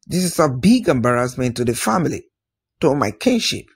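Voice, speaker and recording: A man voicing an indignant, proud king and father in a folktale, protesting with rhetorical questions in a husky voice. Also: medium pitch, big pitch swings, brisk, heavily acted. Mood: troubled, protesting.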